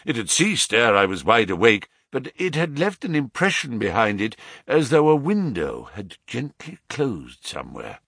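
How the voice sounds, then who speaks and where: A man's voice: medium pitch, big pitch swings, normal speed, creaky, few pauses, fairly bright tone, slightly rough, dry recording, studio quality, exaggerated voice. A man voicing an anguished middle-aged father who tells his tale in formal, melodramatic Victorian phrases, in an audiobook story. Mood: earnest, anxious.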